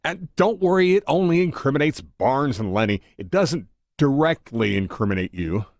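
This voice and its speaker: A man's voice: mid-range voice, lively intonation, normal speed, darkish tone, no echo, studio quality, close to an everyday voice. A man playing a crooked, conspiratorial henchman of a politician in an audio drama. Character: crooked, conniving crony of a corrupt mayor.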